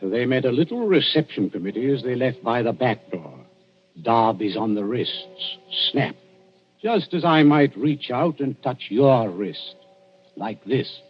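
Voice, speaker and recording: An actor playing a genial, sly, insinuating police inspector in a 1940s radio drama, with folksy, wheedling politeness. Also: male voice, medium pitch, animated, measured pace, close to an everyday voice. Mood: slyly menacing. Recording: muffled 1940s radio broadcast, faint music.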